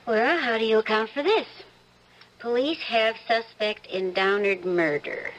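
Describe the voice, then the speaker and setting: An actress playing a troubled, gently stubborn and suspicious wife in an old-time radio drama, hesitant and searching. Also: female voice, fairly high pitch, a little slow, low-quality recording. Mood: pointed.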